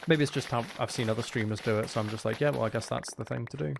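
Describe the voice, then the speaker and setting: A man talks casually while live-streaming a game, with a creaky, fry-edged voice at a medium pitch. He rambles and thinks aloud, often hesitant. There is slight noise and clicking behind him. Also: fast talker.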